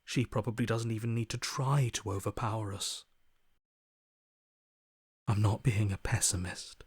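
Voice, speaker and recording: A broken inner voice from a video game, low and very dry in sound. He is a defeated fatalist, mournful and resigned, his words subdued and trailing into breath. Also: fairly even intonation, a little slow, darkish tone, husky, no echo. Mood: hopeless, resigned. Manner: resigned, mournful, bitterly pessimistic. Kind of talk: dramatic video game voice acting.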